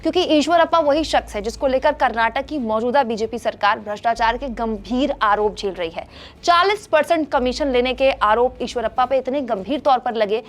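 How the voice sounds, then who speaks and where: A woman's voice: a little high, normal speed. A woman news presenter delivering scripted political commentary straight to her viewers.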